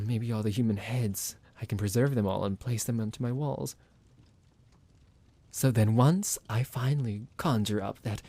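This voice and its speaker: A man's voice: on the low side, warm tone. A man playing a smug, psychotic vampire doctor in an ASMR roleplay, condescending, theatrical and menacing toward his captive.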